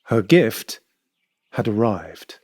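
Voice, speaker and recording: A narrator delivering scripted drama narration in a deliberate, measured storytelling manner. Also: mid-range voice, big pitch swings, darkish tone, breathy edge.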